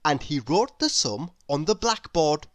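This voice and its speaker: Slow, very animated woman reading a storybook. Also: low voice, no echo. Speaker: storybook reader. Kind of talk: read-aloud children's story narration.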